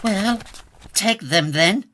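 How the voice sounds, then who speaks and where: A man's voice: sits high, slow pace, background noise. A man playing a pompous, irritable British lord in a comic period audio drama, full of florid, scornful disdain. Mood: exasperated. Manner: pompous, florid archaic insults. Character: haughty, irritable lord who scorns peasants.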